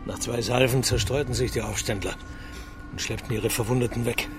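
An actor playing an exhausted, grim military officer of ancient China, reporting gravely in a radio drama. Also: male voice, medium pitch, fairly even intonation, brisk, lightly acted. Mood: grim, shaken. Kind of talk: radio crime drama acting. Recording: music behind the voice.

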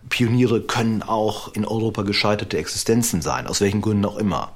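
A man speaking as an expert historian, explaining in a deliberate way. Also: fairly low pitch. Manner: deliberate, explanatory, builds long lists. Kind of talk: expert interview.

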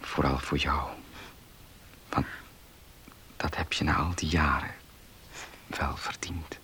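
An actor playing a weary, tender man in a Dutch radio play, speaking in a hushed, halting, earnest way. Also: a bass voice, flat delivery, in a whisper, full character voice. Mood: grateful, earnest. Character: weary, tender male heir, grateful and earnest.

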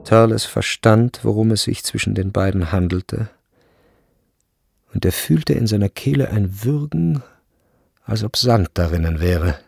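A man narrating a literary radio drama in German as a sober storyteller, in a measured, grave manner. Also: lowish voice, gravelly, darkish tone, husky, dry recording, studio quality, full character voice. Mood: grave, tense. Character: sober literary narrator of a tense boarding-school novel.

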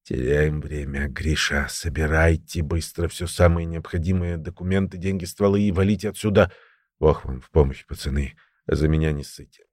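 A man voicing a weary, ailing crippled criminal veteran in an audiobook, creaky and short of breath, talking slowly in prison slang. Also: a bass voice, steady tone, darkish tone, no echo, clean studio sound, pitched below the performer's own voice. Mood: labored, urgent. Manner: slow, labored prison-slang speech.

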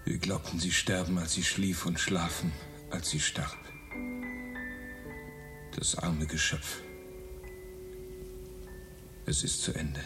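A man playing an uneasy younger companion of a professor in a radio drama, with a hushed, hesitant delivery. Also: low-pitched, fairly even intonation, slow pace, long pauses. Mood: sorrowful. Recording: music behind the voice.

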